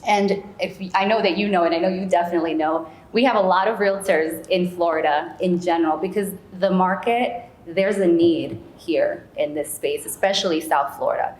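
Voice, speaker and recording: A woman with a slightly low voice speaks as an interview guest. She is chatty and conversational, dropping in casual asides as she explains. The recording has a clear room echo and faint background noise. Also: moderate pace, hardly any pauses, a little mellow. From United States, born in Peru. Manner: chatty, frequent "you know" asides.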